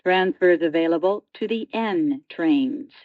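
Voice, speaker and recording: Slow, dull-sounding female transit announcer. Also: on the low side, no echo. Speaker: subway train announcer. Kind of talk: scripted automated transit announcement.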